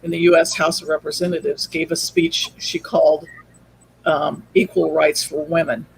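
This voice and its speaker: A woman's voice: sits low, flat delivery, normal speed, gravelly, slight background noise, rough recording. A woman speaking as an interview guest, telling her story in a casual, conversational way. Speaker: podcast guest, audio drama producer.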